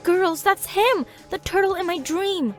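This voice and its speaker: A woman's voice: very high-pitched, unhurried, faint music behind. A woman voicing an earnest, dramatic teenage cartoon girl in an emphatic, theatrical fan fiction reading. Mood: alarmed.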